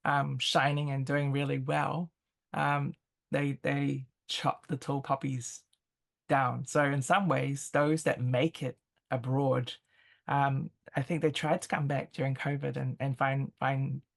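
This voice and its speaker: A woman with a New Zealand accent speaking as an interview guest, conversational and reflective, feeling her way through halting phrases. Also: a bass voice, level tone, gravelly.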